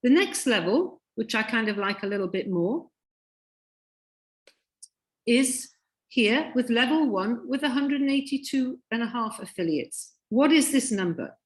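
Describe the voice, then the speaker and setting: Woman presenter, slightly high voice, croaky, insistent pitch over a video call. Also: animated, measured pace. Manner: insistent, repeats points emphatically. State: croaky from illness. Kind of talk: sales pitch.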